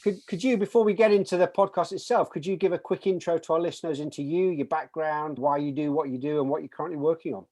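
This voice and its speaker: A man's voice: a little high, fast, hardly any pauses, a little mellow. A man hosting a podcast in an effusive, conversational and enthusiastic way. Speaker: podcast host.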